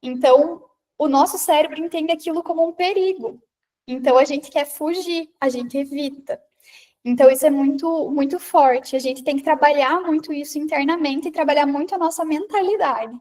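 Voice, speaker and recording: A woman's voice: high-pitched, normal speed, a little mellow. A woman from the interior of Paraná with a Paraná accent, giving a conversational talk that addresses listeners directly, often tagging her sentences with a questioning "né?". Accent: Paraná interior Brazilian Portuguese. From Paraná, Brazil. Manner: conversational, frequent rhetorical "né?"; addresses listeners directly, reads out their comments. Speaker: speaker on public presence and confidence. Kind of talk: live online talk to a women's group.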